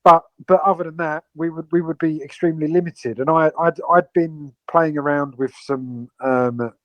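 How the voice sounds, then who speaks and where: A man's voice: on the high side, fairly even intonation, moderate pace, no echo, lo-fi sound. A man from the UK, co-owner of a crypto project, explaining it at length in an online Q&A with many fillers and restarts. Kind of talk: AMA guest explaining a project.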